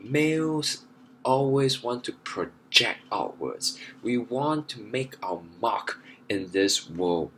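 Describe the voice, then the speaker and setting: A man's voice: on the low side, a little hiss or hum, low-quality recording. A young man talking earnestly in a monologue, stressing his points by repeating phrases. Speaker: vlogger. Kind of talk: monologue to camera.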